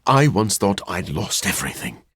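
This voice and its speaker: Brooding vampire antihero for a video game: low, husky, wry, formal diction, close dry recording. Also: male voice, big pitch swings, moderate pace, a bit bright, dry recording, full character voice. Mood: earnest, somber. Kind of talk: video game character voice acting.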